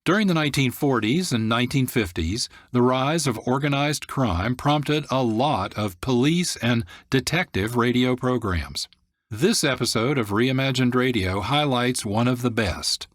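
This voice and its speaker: A man narrates as host of a radio programme, reading from a script. His voice is mid-pitched. The sound is dry, with no room echo. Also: animated, measured pace. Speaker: host and producer.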